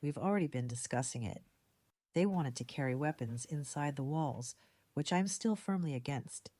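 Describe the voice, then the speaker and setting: A woman playing a composed, decisive community leader in an audio drama, speaking in a measured, diplomatic way. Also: low voice, expressive, dark tone. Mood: firm, businesslike. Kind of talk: fan audio drama dialogue.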